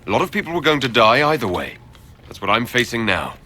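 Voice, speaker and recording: An actor voicing a pragmatic, commanding male leader with a husky voice in video game dialogue. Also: medium pitch, sing-song, quick pace, pushed and bright, slight background noise. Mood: firm.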